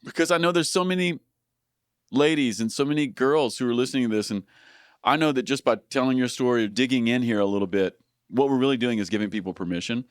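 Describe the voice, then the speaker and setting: A man's voice: mid-range voice, fast, clean tone, dry recording, clean studio sound. A man in his forties hosting a podcast interview, earnest and encouraging, asking long wordy questions. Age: 40s. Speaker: podcast host.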